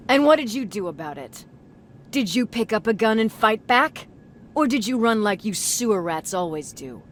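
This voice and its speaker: A woman's voice: medium pitch, sing-song, moderate pace, a little hiss or hum, natural voice. A woman playing a stern, contemptuous resistance field commander in a video game, speaking with intimidating sarcasm. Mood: cold sarcasm. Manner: sardonic, intimidating. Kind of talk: video game dramatic acting.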